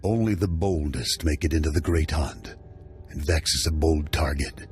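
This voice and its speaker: A seasoned mentor briefs his hunter in a steady, matter-of-fact tone. His voice sits low and even. Faint game music plays beneath. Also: normal speed. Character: seasoned male bounty hunter mentor, businesslike. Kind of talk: video game dialogue.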